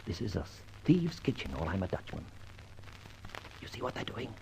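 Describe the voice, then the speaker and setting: An actor playing a jaunty, confident gentleman detective in an old-time radio serial, with theatrical, old-fashioned phrasing. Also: on the low side, sing-song, moderate pace, gravelly. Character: suave, jaunty gentleman adventurer-detective, male, whimsical and confident. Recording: noisy old radio recording.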